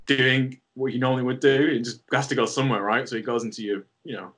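A man's voice: medium pitch, steady tone, fast, a bit bright. A man, talking loosely and swearing in a casual podcast chat. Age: 30s. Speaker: podcast co-host.

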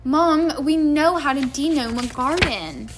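A woman reader puts on a teenage wizard boy from a storybook. The voice sits high, with a cheeky, protesting manner, like a son answering back to a parent. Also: very animated, unhurried, background noise.

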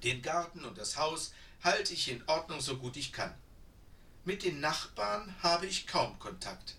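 A man's voice: a little high, lively intonation, a little slow, sharp tone, far from the mic, heavily acted. A man voicing a kind, breathless, plump old village woman with an eager, fussing manner. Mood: chatty.

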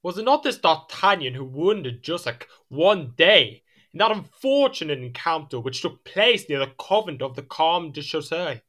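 An imperious, irritable king with a fairly high, piercing voice. His pitch swings widely as he speaks with haughty, theatrical exasperation in a period audio drama. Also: very animated, moderate pace, hardly any pauses, fairly bright tone. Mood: impatient.

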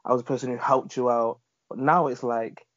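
A man's voice: mid-range voice, brisk, no echo. A man in a casual group discussion, telling a story and acting out the people he talks to. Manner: animated storytelling, acts out callers. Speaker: panel guest. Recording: dull lo-fi sound, dry.

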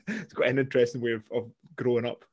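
A man's voice: medium pitch, expressive, fairly quick, a little mellow, highs cut off. A Scottish man chatting casually, blunt and sweary, rambling with restarts. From Scotland. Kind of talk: casual chat.

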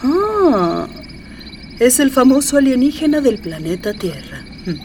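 An actress playing a haughty, imperious young gothic high priestess in a radio drama, disdainful in manner. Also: female voice, very animated, measured pace, darkish tone, recorded from a distance, slight background noise, heavily acted.

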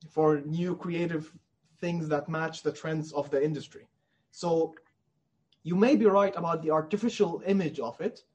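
Male indie developer, high-pitched and slightly raspy, earnest and emphatic in a conversational interview. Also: darkish tone. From Middle East.

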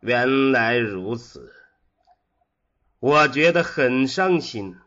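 Guy acting a curious sad immortal mind in a radio drama, lively pitch swings but really slow. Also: muffled recording. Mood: sad, troubled. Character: immortal disembodied human mind, curious and melancholy. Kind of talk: audio drama dialogue.

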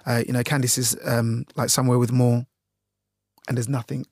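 A man's voice: medium pitch, flat delivery, moderate pace, a little mellow, no room sound. A man speaks in a mid-range voice with a dry, close sound. He is candid and chatty, as in a casual interview. He tells personal stories and often slips into quoting what people say. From Jamaica, later moved to the UK. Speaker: radio journalist being interviewed.

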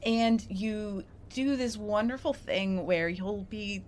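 A woman co-hosts a casual podcast in an animated, giggly way. Her voice is medium in pitch, and she talks informally, as if among friends. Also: slow, a little hiss or hum. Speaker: podcast co-host. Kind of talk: casual chat.

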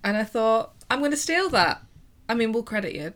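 A woman's voice: on the high side, very animated, a little fast, fairly bright tone. A young woman co-hosting a podcast, chatting casually and giggling often, self-deprecating about her own speech. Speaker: podcast co-host. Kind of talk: casual chat.

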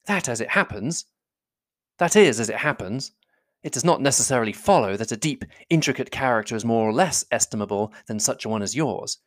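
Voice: mid-range voice, lively intonation, fairly quick, no room sound, clean studio sound, natural voice. A reader voicing a poised, frank young woman with a witty, composed and polite manner. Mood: teasing.

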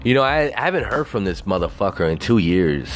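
A man's voice: fairly low pitch, sing-song, brisk, soft background music. A man on a casual, rambling rant, swearing constantly and leaning on "you know" fillers.

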